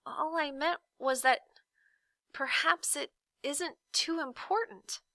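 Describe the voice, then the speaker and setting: A polite young boy from a storybook, performed by a woman reader. He sounds hesitant and tentative, speaking softly at a fairly high pitch. The sound is dry. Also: slow, no room sound, full character voice. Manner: soft, tentative questioning.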